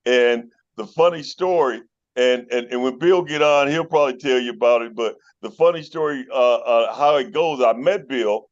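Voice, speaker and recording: A man's voice: mid-range voice, normal speed, muffled recording. Chatty middle-aged man on a call. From Chicago North Side. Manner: friendly storyteller, many fillers. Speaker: guest. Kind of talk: casual phone call chat.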